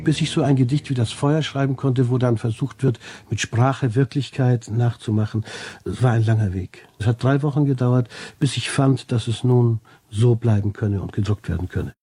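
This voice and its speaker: A man's voice: medium pitch, normal speed, dark tone, breathy edge. A man, a poet, reciting his own playful rhyming verse and talking about writing it, on an old archive recording. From Germany. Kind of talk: archive interview and poetry reading.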